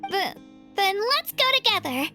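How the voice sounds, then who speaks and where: A timid little girl from an anime game. The voice is extremely high and childlike, polite and earnest, with clear music behind it. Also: sing-song, normal speed, pushed and bright, heavily acted. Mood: earnest, shy. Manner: hesitant, earnest. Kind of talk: anime-style video game voice acting.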